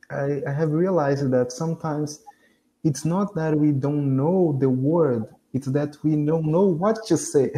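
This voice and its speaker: A man's voice: fairly high pitch, dark tone, rough recording. A young man whose first language is Portuguese, practicing English on a video call, hesitant and correcting himself often, with frequent laughs. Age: young adult. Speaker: English student. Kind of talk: casual conversation practice.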